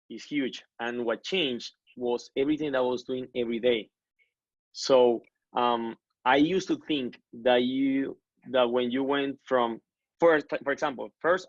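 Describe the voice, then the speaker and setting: A man tells his own story as an interview guest. He speaks earnestly and often stops to restart his sentences. The voice sits in a middle pitch range, and the recording is dull and dry. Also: fairly bright tone, dry recording. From Colombia. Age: 30s. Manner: earnest, many self-corrections and restarts.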